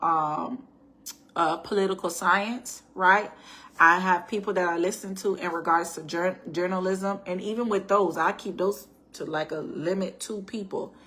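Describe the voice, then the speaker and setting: A woman with a bright, forward voice and a hint of rasp talks loosely and personally to her viewers. She is middle-aged and chatty, with frequent restarts and check-ins like "right?". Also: lowish voice. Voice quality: raspy at times. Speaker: faith and life-planning vlogger. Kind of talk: casual personal storytime talk.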